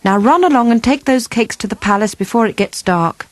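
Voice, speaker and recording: A woman voicing a busy, dismissive father in a storybook, giving curt, brisk orders. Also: a little high, animated, dry recording, close to an everyday voice.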